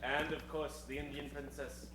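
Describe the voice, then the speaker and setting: A man's voice: a little high, level tone, normal speed, noisy background. A man hosting and narrating an improvised old-time radio show with theatrical storytelling. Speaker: host and narrator. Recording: echoey live stage, keyboard music behind. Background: keyboard music.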